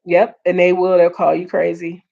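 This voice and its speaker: A woman hosting a casual live stream, earnest and repeating her points, greeting viewers, her voice getting hoarse. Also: lowish voice, level tone, normal speed, mellow. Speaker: livestream host. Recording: dull lo-fi stream audio.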